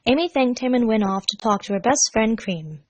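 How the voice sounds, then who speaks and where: A woman reading a scripted story aloud as narrator, measured and expressive. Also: a little high, dry recording. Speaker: fan fiction narrator.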